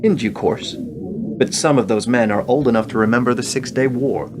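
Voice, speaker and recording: A cold, commanding official played by a man in a radio play. He speaks curtly at a middling pitch, with clearly audible background noise around him. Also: normal speed, lightly acted. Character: curt, cold male official dictating terms to an ambassador.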